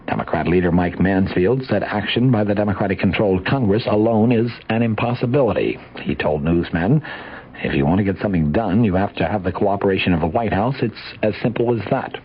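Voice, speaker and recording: A man's voice: lowish voice, brisk, slightly rough, dull audio. An American man reading a scripted news report as a radio newscaster on a vintage broadcast.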